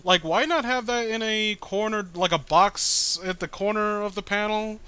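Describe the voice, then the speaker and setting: A man's voice: high-pitched, moderate pace, sharp tone, clean tone. A man co-hosting a casual comedic read-along, with a sarcastic, self-deprecating way of talking. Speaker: co-host reading a comic aloud.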